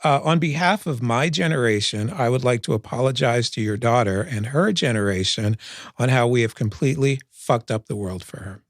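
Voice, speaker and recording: A man's voice: mid-range voice, normal speed, no echo, clean studio sound. A man co-hosting a podcast, chatting casually with a wry, sardonic manner. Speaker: podcast co-host. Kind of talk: casual chat.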